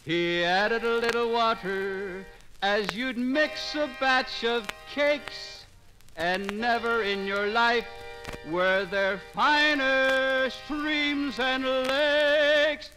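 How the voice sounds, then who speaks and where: A man sings a storytelling folk ballad in a high voice. The phrases are very slow and drawn out, with clear musical accompaniment. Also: over music, poor audio.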